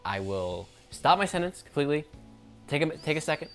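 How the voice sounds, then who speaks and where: Fast-talking rambling man. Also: on the high side, very animated, fairly bright tone, faint music behind. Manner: rambling, corrects himself mid-sentence; stutters now and then. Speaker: YouTuber. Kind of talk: unscripted casual monologue to camera.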